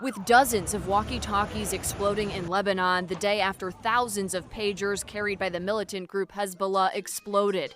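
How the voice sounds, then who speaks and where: A woman with an American accent delivering a scripted television news report as a reporter. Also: mid-range voice.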